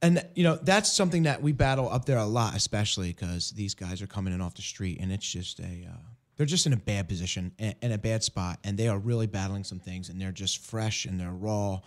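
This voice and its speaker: Man, hoarse voice, radio host, earnest, halting, restarts often. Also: on the low side. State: hoarse from illness. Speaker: ministry program director.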